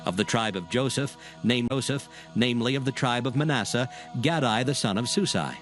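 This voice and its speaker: A man narrating scripture in a measured, formal reading. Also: mid-range voice, a bit bright. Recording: music under the voice.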